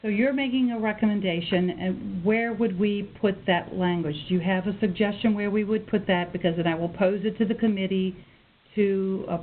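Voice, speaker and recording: A woman's voice: mid-range voice, steady tone, moderate pace. A woman acting as committee chair, formally running a meeting on a conference call. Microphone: telephone. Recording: tinny conference phone line with noise.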